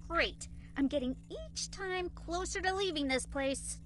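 High-voiced plucky young girl. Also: measured pace. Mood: plucky, relieved. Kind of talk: video game cutscene acting.

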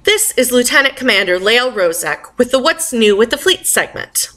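A woman's voice: fairly high pitch, sing-song, moderate pace, fairly bright tone. A woman presenting a podcast news segment in a scripted narration style.

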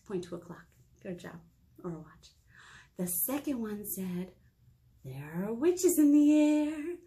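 American woman in her thirties, children's storytime librarian, very varied pitch, unhurried pace, encouraging, expressive. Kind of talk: storytime read-aloud with asides to children. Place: small indoor meeting room.

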